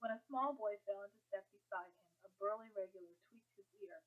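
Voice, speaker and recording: A woman's voice: medium pitch, brisk. Woman narrating a novel, muffled narrow-band sound. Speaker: audiobook narrator. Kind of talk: scripted story narration.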